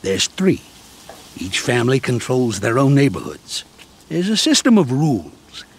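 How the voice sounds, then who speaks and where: An actor playing a seasoned mobster in prison who talks in a streetwise, lively way, in a video game cutscene. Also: male voice, medium pitch, unhurried, breathy edge, full character voice. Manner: streetwise, lively, explains patiently. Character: older male mobster inmate, seasoned and reassuring mentor.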